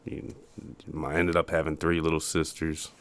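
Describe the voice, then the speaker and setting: A man in his early forties from the eastern United States, telling his own story as an interview guest in a halting, plain-spoken way. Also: very deep voice, flat delivery, a little hiss or hum. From Baltimore area, United States. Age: early 40s. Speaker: podcast guest, peer support specialist.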